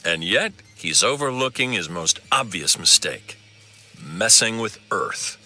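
An actor playing a noble, earnest superhero leader in a video game cutscene, firm and measured. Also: male voice, on the low side, lively intonation, bright, forceful tone, faint music behind, exaggerated voice. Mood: stern.

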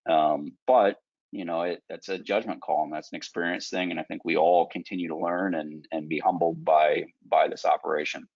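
Middle-aged male surgeon lecturing over video call, low gravelly voice, frank and chatty with fillers, dry muffled sound. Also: fairly even intonation, moderate pace, a little mellow, no echo. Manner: frank, conversational, many fillers. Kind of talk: recorded lecture with slides. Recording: dull lo-fi video call audio.

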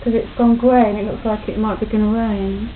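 A young woman chatting casually and self-deprecatingly in her living room, talking quietly into a built-in webcam mic. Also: little pitch movement, fairly quick. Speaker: home video maker. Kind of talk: casual home video chat. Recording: tinny low-quality webcam audio with faint hiss.

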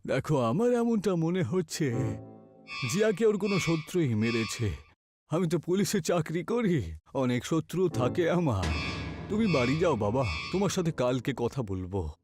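A man acts as a middle-aged inspector in a horror cartoon. He talks in a measured, dismissive way, like an official closing a matter. Loud music sits behind the words. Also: a little high, sing-song. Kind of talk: animated horror story dialogue. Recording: loud music bed over the voice.

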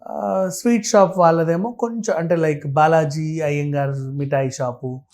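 Talkative, higher-pitched Telugu man. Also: steady tone, normal speed, a little mellow. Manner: talkative, explains with everyday examples and lists, mixes in English words. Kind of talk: casual explanatory talk.

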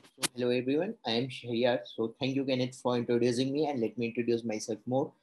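A young man from India with an Indian English accent, a native Hindi speaker, giving an earnest, halting conference talk over a video call from a room at home. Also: mid-range voice, fairly even intonation, darkish tone, low-quality recording. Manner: earnest, halting with restarts and fillers. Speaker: panelist presenting.

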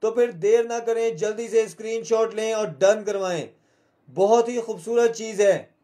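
A man's voice: high voice, unhurried, warm tone. A man with a Pakistani accent hosting a live shopping show, selling in a repetitive, urging salesman's manner.

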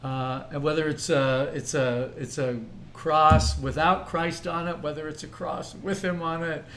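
A man's voice: fairly high pitch, fairly even intonation, measured pace, fairly bright tone, a little hiss or hum. An older man leading a ministry, talking earnestly and reflectively in a casual podcast discussion. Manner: earnest, reflective, speaks in long thoughtful runs. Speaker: ministry leader.

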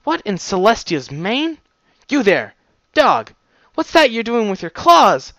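Man playing a feisty pony guard mare, shrill and scornful, shouting down from a tower; storybook reading. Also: sing-song, a little slow, vocal fry, no echo, heavily acted. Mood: suspicious.

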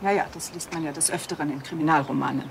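A woman acting a composed mother superior of a convent in a TV crime comedy, polite, measured and drily ironic. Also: low voice, steady tone, creaky, noisy background. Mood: dryly ironic.

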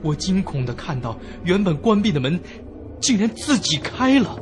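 A man's voice: high-pitched, normal speed, over music. An actor playing an uneasy young man, telling a ghost story in a suspenseful, dramatic first-person style. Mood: suspenseful, fearful. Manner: suspenseful, expressive. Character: uneasy young man narrating his haunted inn ordeal.

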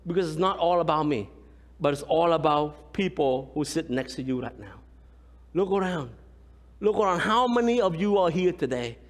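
A man in his thirties, a pastor from Cambodia with a Khmer accent, preaching a lively sermon and often asking the congregation "right?". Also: fairly high pitch, normal speed. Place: large church sanctuary, indoors. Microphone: far camera, room pickup. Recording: long church hall reverb.

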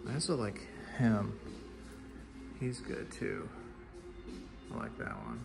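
A man giving low-key, casual commentary as an artist walking through an art gallery, often trailing into murmured asides. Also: huge pitch swings, takes it slowly, creaky, over music. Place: large indoor art gallery with hard floors.

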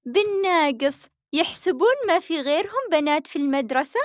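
A woman voicing a mocking, sharp-tongued schoolgirl in a children's cartoon, sarcastic and exaggerated, with a Saudi accent. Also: sits high, expressive, fairly quick, dry recording. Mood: disdainful.

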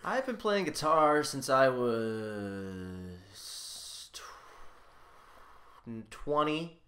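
A man in his twenties chats casually as a podcast co-host, speaking very slowly with lively pitch. There is some faint noise behind him. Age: 20s. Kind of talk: casual chat.

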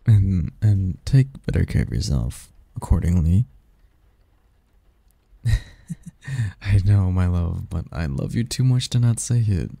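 Velvety, dark-toned demon lover, acted by a man. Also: low-pitched, big pitch swings, slow, vocal fry, pauses often, husky, no room sound, exaggerated voice. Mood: caring, coaxing. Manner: tender, teasing, old-fashioned courtly phrasing. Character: doting, possessive male sleep demon lover. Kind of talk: intimate romantic ASMR roleplay.

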